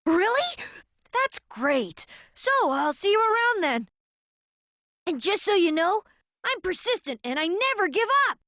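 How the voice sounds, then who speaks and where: A high, lively young fighter girl, stubborn and never willing to give up. Her pitch leaps around as she talks boldly for a game cutscene. The sound is close and dry. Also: a little slow, no echo, heavily acted. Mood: eager. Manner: bold, energetic, a little shy when asking. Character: spirited young woman fighter, stubborn and persistent.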